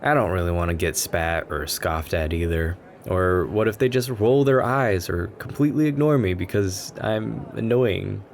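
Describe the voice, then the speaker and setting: A man playing a shy, sweet, nervous writer chatting to a stranger in a café, in a gentle, rambling ASMR roleplay style. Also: sits low, normal speed, gravelly, few pauses. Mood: earnest, nervous. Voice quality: gentle, soft-spoken. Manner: anxious, rambling, earnest. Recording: noticeable coffee shop ambience noise. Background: coffee shop ambience.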